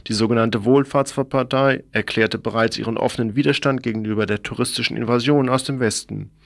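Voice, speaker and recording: A man's voice: mid-range voice, gravelly, a bit bright, no room sound, clean studio sound. A man presenting a scripted radio news report in a formal manner, now and then stumbling over the text.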